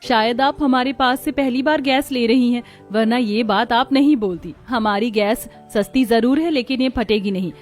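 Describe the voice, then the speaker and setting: A woman voicing homely village women of one family in a cartoon story, with expressive talk. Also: a little high, moderate pace, few pauses, faint music behind, natural voice. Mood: explaining. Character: village women of a family, daughters-in-law and mother-in-law. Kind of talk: Hindi cartoon story dialogue.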